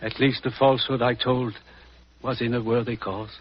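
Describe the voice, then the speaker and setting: A man playing a courteous, genteel British country gentleman in a radio drama, with polite manners. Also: mid-range voice, flat delivery, moderate pace, natural voice. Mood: pleased. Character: courteous English country gentleman, doting father. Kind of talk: old-time radio drama acting. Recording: dull, faintly noisy old radio recording.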